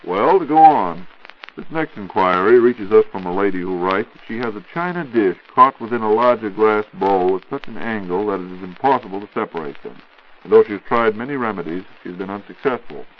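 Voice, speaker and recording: A man with an American accent hosting a scripted 1940s radio program in a formal, measured, polished announcer's delivery. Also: on the low side, lively intonation. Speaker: radio show host and announcer. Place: radio studio. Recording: muffled 1940s radio broadcast.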